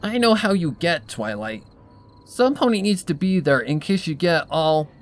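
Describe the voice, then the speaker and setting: Rainbow Dash, brash pony, voiced by a man, pitched up. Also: lively intonation, moderate pace, close to an everyday voice. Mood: stubborn, insistent. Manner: blunt, insistent. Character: brash, stubborn, loyal young female flying pony.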